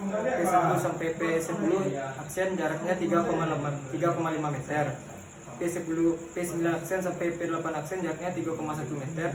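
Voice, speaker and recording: A young man reading out a surveying report, reciting measurements and calculations in a measured way with small restarts. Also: on the high side. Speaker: student presenting a report. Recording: echoey room, distant mic, noisy background.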